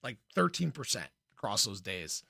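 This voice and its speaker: A man hosting a casual livestream in an informal, playful way, with a slightly hoarse voice and frequent throat clearing. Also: fairly low pitch, sing-song, normal speed, pushed and bright, no room sound, studio quality. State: slightly hoarse, losing his voice. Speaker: livestream host, crypto commentator. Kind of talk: casual livestream chat, reading and answering viewer comments.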